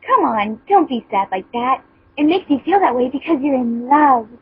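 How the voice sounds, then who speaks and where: A woman's voice: high voice, animated, moderate pace, slightly rough, no echo, full character voice. A woman voicing a tough, teasing teenage girl in an amateur fan fiction reading. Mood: teasing, concerned. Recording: muffled phone-like voice chat line.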